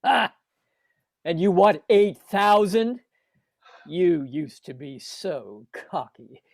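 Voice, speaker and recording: A man playing a cruel, contemptuous old rich businessman in a radio play, speaking slowly and deliberately. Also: high-pitched, animated, gravelly, no room sound, clean studio sound, exaggerated voice. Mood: gloating, scornful. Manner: contemptuous, gloating, slow and deliberate. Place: home recording over video call.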